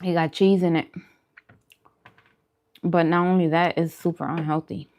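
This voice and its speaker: Casual woman vlogger with a lowish voice. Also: a little slow. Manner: animated storytelling with emphatic repeats and colloquial slang. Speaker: food vlog host chatting with viewers. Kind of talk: casual chat to viewers during a mukbang livestream.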